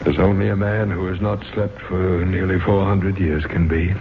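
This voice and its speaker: A man's voice: low-pitched, steady tone, slight background noise. An actor playing a centuries-old, melancholy ghost of a nobleman in a 1970s radio drama, speaking in a grandiloquent, theatrical way. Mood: weary, yearning. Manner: grandiloquent, slow theatrical delivery. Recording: muffled, phone-like vintage radio broadcast with music.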